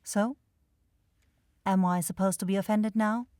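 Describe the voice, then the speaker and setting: Woman doing an aloof vampire girl asmr roleplay, slow n teasing, kinda sardonic, totally dry sound no room. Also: medium pitch, fairly even intonation, dark tone, no room sound, natural voice. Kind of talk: ASMR roleplay addressed to the listener.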